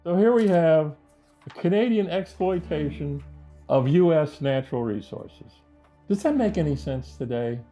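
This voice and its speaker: A man's voice: on the high side, animated, normal speed, warm tone, faint music behind. A man making an indignant advocacy presentation, pressing his points with rhetorical questions. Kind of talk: advocacy presentation, explaining figures.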